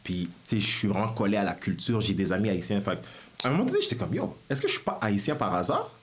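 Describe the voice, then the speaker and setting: Lively young man with a Quebec accent. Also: fast. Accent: Quebec French. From Congo. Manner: animated, jumps into high voices when quoting people. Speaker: podcast co-host and stand-up comedian. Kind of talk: casual chat, storytelling.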